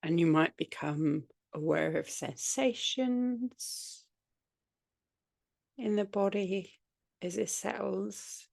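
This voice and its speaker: A woman with a slightly low, gravelly-edged voice leads a guided meditation. She speaks extremely slowly and soothingly, leaving long pauses between short phrases. The sound is dry and close, with very little room around it. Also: a little mellow, no room sound. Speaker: yoga nidra guide. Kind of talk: guided meditation, spoken relaxation practice.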